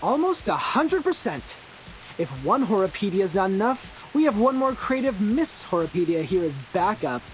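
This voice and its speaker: A man's voice: high voice, big pitch swings, moderate pace, faint music behind, low-quality recording. An actor playing an eccentric, theatrical young man who loves horror, in a video game. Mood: smug, playful. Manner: theatrical, teasing, grandiose. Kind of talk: English video game character acting.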